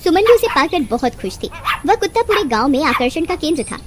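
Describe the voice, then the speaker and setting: High-pitched animated woman storyteller. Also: fast, background noise. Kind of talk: scripted children's story narration.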